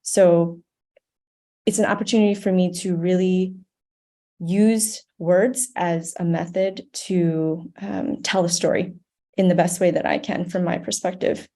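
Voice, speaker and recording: A woman's voice: fairly low pitch, steady tone, measured pace, dark tone. An American woman speaking earnestly and thoughtfully as an interview guest, in a reflective conversational style. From United States, grew up in Ohio. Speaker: podcast guest, nonprofit board member.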